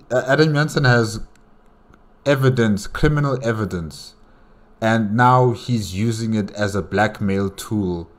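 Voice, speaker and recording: A man's voice: fairly low pitch, a bit bright. A man hosting a reaction video, giving casual, conversational commentary and repeating himself for emphasis.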